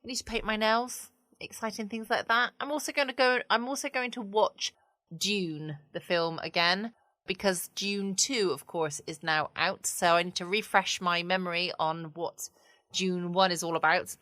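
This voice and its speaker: A woman's voice: mid-range voice, fairly even intonation, normal speed, bright, forceful tone, no room sound. A woman chatting casually and wryly as the host of a late-night bedtime podcast. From United Kingdom.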